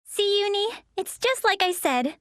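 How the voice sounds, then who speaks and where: High-voiced, sweet earnest teenage girl. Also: lively intonation, a little slow, dry recording, clean studio sound, full character voice. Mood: earnest, pleased. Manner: gentle, polite, earnest. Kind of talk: anime game acting and story narration.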